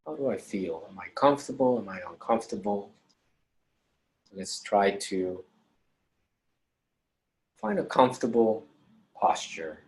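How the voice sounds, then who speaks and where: Male meditation instructor, low register, slow calm delivery in short measured phrases with long pauses.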